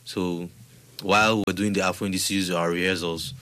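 A Nigerian musician telling stories in a relaxed, rambling way during a casual interview. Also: male voice, sits low, fairly quick. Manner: relaxed, rambling storytelling with restarts. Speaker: musician guest.

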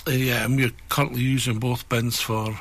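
A man's voice: mid-range voice, flat delivery, brisk, fairly bright tone, a little hiss or hum. A middle-aged man co-hosting morning radio, rambling and self-deprecating with a wry humor. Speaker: radio co-host. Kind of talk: casual morning radio chat.

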